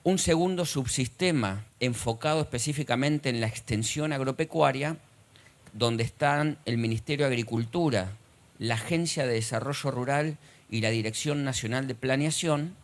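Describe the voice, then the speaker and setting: A middle-aged man with an Argentine accent, giving a formal conference talk, measured and filled with pauses and hesitations. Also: medium pitch. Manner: measured, with frequent "eh" and "digamos" fillers. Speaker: conference speaker.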